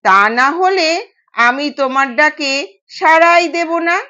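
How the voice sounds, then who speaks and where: A woman's voice: high-pitched, unhurried, dull audio. A woman voicing a kind young newlywed daughter-in-law in an audio story, polite and earnest. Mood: gently insistent. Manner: gentle, polite, quietly insistent.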